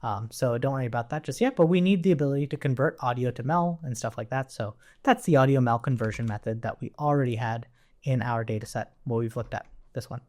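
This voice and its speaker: A man's voice: mid-range voice, fast, darkish tone. A man teaching in an informal, conversational way, explaining step by step as a coding instructor and often checking in as he goes. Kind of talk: informal technical tutorial walkthrough.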